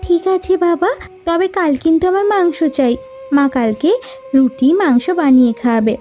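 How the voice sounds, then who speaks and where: A woman's voice: high voice, expressive, normal speed, mellow. A woman voicing an eager, wistful little village girl in a fairy tale cartoon. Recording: music behind the voice.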